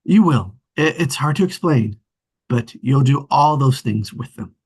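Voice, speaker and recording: An actor playing an earnest, sentimental brother and family man with a husky voice in a drama table read. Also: medium pitch, expressive, measured pace, darkish tone. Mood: tender, reassuring. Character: earnest, sentimental grown brother, husband and father.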